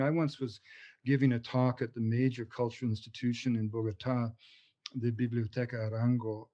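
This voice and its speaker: Passionate man with a mellow, dull-sounding voice. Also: mid-range voice. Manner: passionate and discursive, with frequent restarts. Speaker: author and anthropologist, interview guest. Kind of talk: conversational interview answers.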